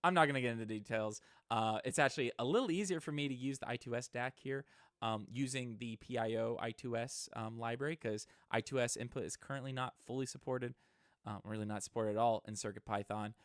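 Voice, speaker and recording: A man's voice: mid-range voice, fairly quick, clean tone, no echo, clean studio sound. A man giving an informal, somewhat hesitant explanation in a casual tech demonstration. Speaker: presenter, electronics hobbyist. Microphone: close microphone into an audio interface.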